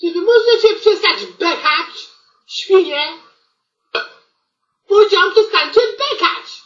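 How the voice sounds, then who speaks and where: A strict, flustered schoolteacher played by a woman in a homemade comic radio play. She scolds in a high, halting voice that swings up and down. The recording is muffled and roomy. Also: animated, fairly bright tone, recorded from a distance, heavily acted. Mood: scolding. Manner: halting, repeating words, scolding.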